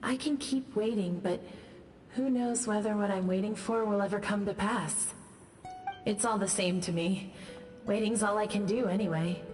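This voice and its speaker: A woman's voice: mid-range voice, normal speed, a little mellow, lightly acted. A woman as a wistful, resigned woman over music. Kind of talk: video game character dialogue. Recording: music behind the voice. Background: game music.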